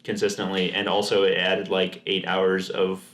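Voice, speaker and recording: A man's voice: sits low, completely flat delivery, moderate pace, fairly bright tone. A man co-hosting a podcast, chatting casually and enthusiastically, often restarting his sentences. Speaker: podcast co-host. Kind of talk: casual chat. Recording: roomy echo.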